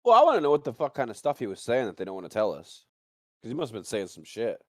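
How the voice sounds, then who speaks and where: A man co-hosting a podcast in casual, opinionated chat, rambling and excitable, swearing freely. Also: medium pitch, fast talker, no room sound, clean studio sound. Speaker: podcast co-host.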